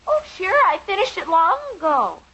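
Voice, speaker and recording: A woman playing an excitable, childish young daughter in a 1950s radio sitcom. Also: very high voice, normal speed, heavily acted, poor audio. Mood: eager, defensive. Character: excitable young schoolgirl, the family's little daughter. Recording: old radio broadcast, faint noise.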